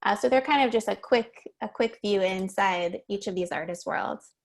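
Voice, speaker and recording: Friendly woman host, mid-pitched voice. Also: normal speed. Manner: friendly, welcoming. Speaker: host, interviewer. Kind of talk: casual live event hosting.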